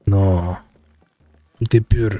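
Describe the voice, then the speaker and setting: A man's voice: fairly low pitch, steady tone, mellow, dry recording, faint music behind. Man playing a wary, haggling buyer. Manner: deliberate, bargaining, casual street French. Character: cautious haggling male buyer, streetwise. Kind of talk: roleplay in character.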